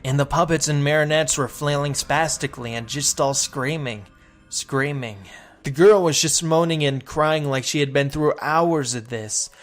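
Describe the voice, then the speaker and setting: A man's voice: fairly high pitch, moderate pace, close to an everyday voice. A man playing someone uneasily recalling a creepy childhood puppet show in a dramatic forum reading. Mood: haunted.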